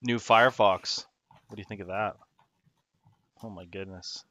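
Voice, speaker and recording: A low-pitched man with a bright edge, joking dryly at the game table. He is recorded up close with almost no room sound. He teases more than he leads. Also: steady tone, moderate pace, dry recording, lo-fi sound. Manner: wry, teasing jokes. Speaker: player handling the recording. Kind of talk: casual chat at a game table.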